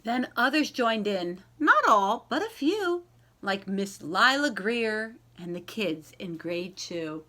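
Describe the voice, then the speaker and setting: A woman reading a children's storybook aloud and chatting to young listeners, expressive and encouraging, with stretched key words and dramatic pauses. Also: medium pitch. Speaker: library read-aloud host.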